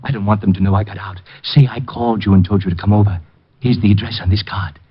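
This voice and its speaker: Nervous pleading little man, very husky breathy voice, urgent, old radio mystery, muffled recording. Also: fairly low pitch, steady tone, fast talker. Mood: anxious, urgent. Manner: urgent, anxious. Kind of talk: 1940s-50s radio detective drama acting.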